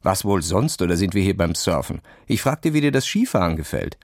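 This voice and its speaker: Irritable, cocky schoolboy, voiced by a man, creaky. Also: deep voice, sing-song, a little fast, dry recording, studio quality, heavily acted. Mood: irritated, sarcastic. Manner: snappy, sarcastic. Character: irritable, cocky fifth-grade schoolboy, expert skier.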